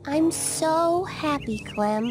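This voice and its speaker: A woman voicing a dreamy, eerily cheerful camp girl in a cartoon video game, with a wistful, drawn-out manner. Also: high-pitched, expressive, full character voice. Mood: dreamy, ominous. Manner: wistful, drawn-out, seeking agreement. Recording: loud game music behind.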